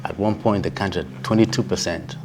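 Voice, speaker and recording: A man from Zambia, a documentary filmmaker, explaining his project in a relaxed way. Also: sits low, brisk.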